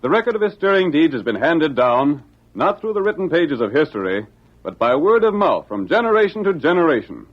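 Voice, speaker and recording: Grand, animated old-time radio announcer, male. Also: fairly high pitch, brisk. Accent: American. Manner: grand, declamatory. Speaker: radio drama announcer and narrator. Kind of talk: dramatic 1940s radio narration. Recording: muffled old radio broadcast.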